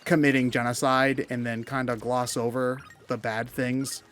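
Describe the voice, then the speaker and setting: Guy co-hosting game commentary, mid pitch, rants a lot, animated, swears casually. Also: normal speed, faint music behind. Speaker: co-host of a video game commentary show. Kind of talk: casual opinionated commentary chat.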